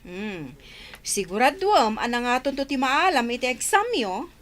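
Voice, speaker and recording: A woman's voice: a little high, very animated, unhurried, fairly bright tone. A woman playing a stern, admonishing schoolteacher in a comedy radio drama. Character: stern schoolteacher warning her pupils.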